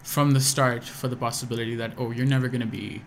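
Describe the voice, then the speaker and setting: A man's voice: medium pitch, fairly even intonation, fast talker, gravelly, slight background noise. A young man from Pakistan speaks in a casual podcast chat. His American accent comes through as he circles his thoughts with fillers and false starts. A faint room ambience sits behind him. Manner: hesitant, restarts often, many fillers. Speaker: guest musician.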